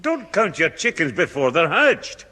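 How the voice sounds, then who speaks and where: A man playing a grumbling, excitable old grandfather in a 1960s radio sitcom, with a husky voice. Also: on the high side, unhurried, slight background noise, heavily acted. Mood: sceptical, blustering. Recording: reverberant old radio recording.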